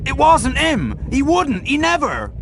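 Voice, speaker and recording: An actor playing a big, tender-hearted half-giant groundskeeper in a video game, with a gruff West Country English accent. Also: male voice, extremely high pitch, huge pitch swings, unhurried, background noise, heavily acted. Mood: distressed, pleading. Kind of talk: video game character acting.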